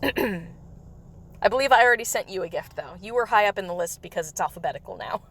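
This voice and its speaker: Chatty woman streamer, a bit raspy. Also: medium pitch, lively intonation, brisk, fairly bright tone. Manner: rambling, chatty, with frequent laughs. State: slightly raspy from coughing. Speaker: livestream host. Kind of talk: casual chat while playing a mobile game.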